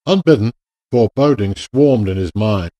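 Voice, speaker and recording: A man narrating a radio drama in a measured, literary storytelling manner. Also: fairly low pitch, lively intonation, warm tone, no room sound.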